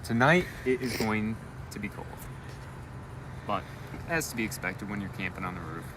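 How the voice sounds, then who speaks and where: A man reads a storybook aloud with expression, pausing for asides and questions to his listeners, recorded outdoors on a live feed. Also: fairly low pitch, animated, noisy background. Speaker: elementary school educator reading a bedtime story. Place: outdoors on a school roof at night.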